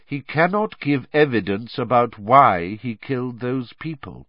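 A man's voice: medium pitch, lively intonation, no echo. Dumbledore: a man doing a grave old headmaster. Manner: sharp, measured. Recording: dull, dry lo-fi audio.

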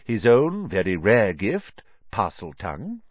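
A man voicing a calm, kindly old headmaster wizard, speaking gently and with measured care in a dry, slightly muffled audiobook recording. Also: lowish voice, no room sound, exaggerated voice. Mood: calm, wise. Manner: gentle, measured, soft-spoken.

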